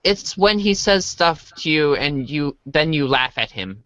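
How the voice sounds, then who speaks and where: A woman's voice: deep voice, animated, a little slow, fairly bright tone, no echo. A woman voicing a laid-back, slightly awkward teenage boy in an amateur reader's theater, casual and hesitant.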